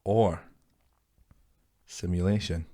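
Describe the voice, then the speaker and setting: A man's voice: sits low, fairly even intonation, slow pace, mellow. A man co-hosting a podcast in casual chat, rambling through his stories and laughing at himself. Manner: rambling, self-deprecating, laughs easily. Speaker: podcast co-host.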